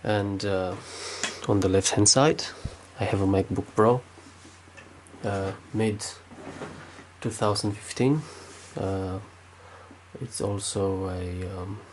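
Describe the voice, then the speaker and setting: A man's voice: on the low side, fairly even intonation, slow pace, slight background noise, poor audio. A man with a Romanian accent, speaking unscripted with many fillers and restarts while demonstrating something at his desk. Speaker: tech video maker.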